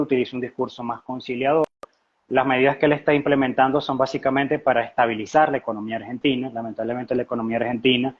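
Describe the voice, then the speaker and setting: A man with a Venezuelan accent giving conversational economic commentary as a guest analyst, talkative and explanatory, often posing rhetorical questions. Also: mid-range voice. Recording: dull, lo-fi live stream audio.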